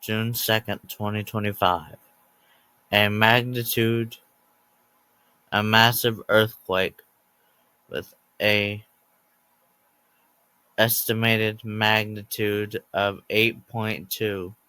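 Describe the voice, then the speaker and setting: A man reading a scripted emergency alert announcement as narrator, in a halting way that breaks sentences into short groups of words. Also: on the low side, flat delivery, bright, forceful tone, clear, resonant tone, no room sound, rough recording.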